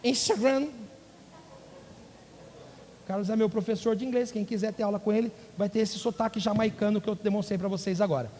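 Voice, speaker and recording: A man's voice: high voice, steady tone, brisk, long pauses, darkish tone. A male pastor preaching a sermon, rising into emphatic bursts and asking the congregation questions. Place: church. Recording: church hall with long reverb.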